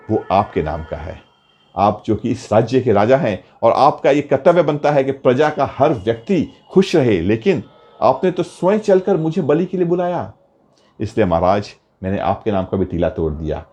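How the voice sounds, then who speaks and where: A man voicing a devout, calm young boy in a story, speaking respectfully and solemnly. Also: on the low side, lightly acted.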